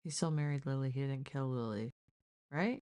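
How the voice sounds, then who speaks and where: A woman's voice: a bass voice, normal speed, warm tone, smooth. A woman hosting a live stream, casually reacting to a crime show in a blunt, exclaiming way and thinking aloud.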